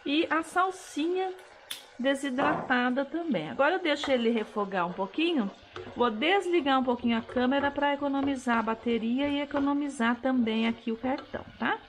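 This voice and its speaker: A woman's voice: sits high, normal speed, background noise. A middle-aged woman with a Brazilian Portuguese accent, chatting casually as she cooks in her home kitchen and explaining each step, recorded on a head-mounted camera. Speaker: cooking vlogger. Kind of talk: casual cooking chat to camera.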